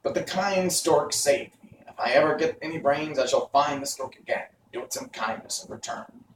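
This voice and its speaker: A man voicing a gentle, self-doubting scarecrow with an earnest manner, in a storybook reading. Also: fairly high pitch, normal speed, voice pushed up, lightly acted. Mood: grateful. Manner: plaintive, earnest. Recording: roomy, distant, faint noise.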